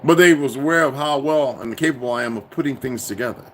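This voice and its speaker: A man's voice: mid-range voice, fast, fairly bright tone, slight background noise, poor audio. A man delivering a profane, preachy, insistent monologue to his viewers. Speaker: commentator.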